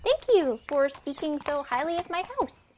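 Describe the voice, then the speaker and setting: A woman's voice: high voice, animated, normal speed, a little mellow, a little hiss or hum. A woman playing a reluctant, wry young fairytale heroine in an amateur audio drama. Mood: wry, resigned.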